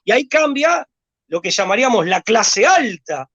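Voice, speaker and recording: Argentine man from Rosario, high-pitched, thinks aloud with many fillers, muffled lo-fi audio. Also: big pitch swings, normal speed, a bit bright. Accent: Rosario Argentine Spanish. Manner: rambling, hesitant with repeated words and fillers. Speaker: guest. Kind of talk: discursive conversation.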